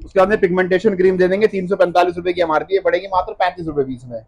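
A man in his thirties, a shop owner, rattling off products and prices in a quick, pushy sales patter, recorded on a phone camera inside his shop. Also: high-pitched, steady tone, gravelly, muffled recording, noisy background. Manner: rapid, pushy salesman patter, repeats words. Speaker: wholesale cosmetics shop owner. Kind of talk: fast sales pitch listing prices. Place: cosmetics shop among stocked shelves, indoors. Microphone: handheld phone camera up close.

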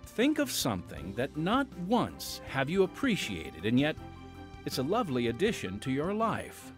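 Animated male podcast host over music. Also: a little high, normal speed. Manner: addresses listeners directly as friends. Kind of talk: scripted podcast introduction.

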